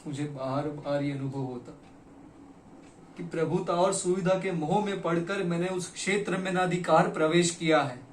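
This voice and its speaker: A man performing a theatrical monologue as a broken, regretful poet, speaking dramatically and deliberately with weighted pauses. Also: fairly high pitch, close to an everyday voice. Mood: anguished. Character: broken, regretful poet confessing to his lost beloved. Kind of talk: theatrical Hindi stage monologue. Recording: echoey room, distant mic, faint noise.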